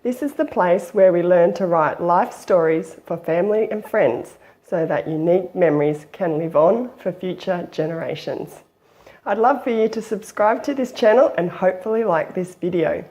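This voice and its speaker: A woman with a croaky, flu-hoarse voice, hosting a casual how-to vlog in a chatty, friendly way with an Australian accent. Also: fairly low pitch, normal speed, warm tone. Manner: friendly, chatty, with small laughs and fillers. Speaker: memoir-writing channel host.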